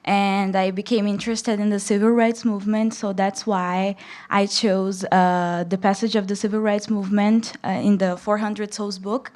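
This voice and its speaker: A woman's voice: medium pitch, flat delivery, normal speed, darkish tone. A young woman from Brazil with a Brazilian accent, a native Portuguese speaker, speaking and reading aloud to an audience as a student. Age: young adult. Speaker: student reader at a public read-in.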